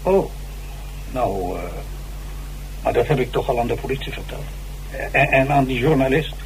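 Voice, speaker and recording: A man's voice: mid-range voice, expressive, moderate pace, natural voice. A man in a Dutch radio drama playing an agitated, boastful old stage actor who stammers theatrically and defensively. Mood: nervous, confiding. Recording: noisy, humming old radio recording.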